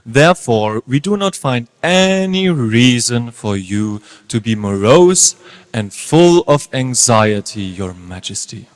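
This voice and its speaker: A man's voice: medium pitch, animated, takes it slowly. An actor playing an anxious, deferential teacher at a king's court, pleading theatrically in a dramatic reading. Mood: fawning, anxious. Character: anxious, deferential male teacher serving a demon king. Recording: reverberant hall.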